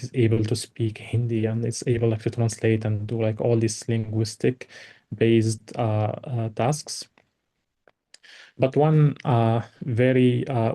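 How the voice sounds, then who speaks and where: A man's voice: mid-range voice, warm tone. A man from Morocco whose first language is Tarifit, giving an invited academic talk on slides, with lots of "like" fillers and restarts. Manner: many fillers and restarts, heavy use of "like". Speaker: invited speaker.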